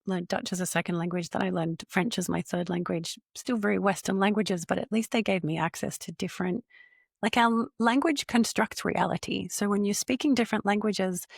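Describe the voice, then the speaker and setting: A woman's voice: medium pitch, vocal fry, dry recording, studio quality. A woman in her forties hosting a podcast interview, speaking in a thoughtful, reflective way. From Australia. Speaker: podcast host, CEO.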